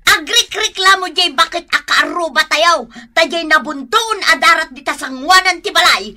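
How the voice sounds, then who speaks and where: An actress playing a fed-up, scolding Filipino wife with an Ilocano accent in a radio comedy drama. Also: female voice, lively intonation, normal speed, bright, forceful tone, exaggerated voice. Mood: angry, hurt. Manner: nagging, shrill.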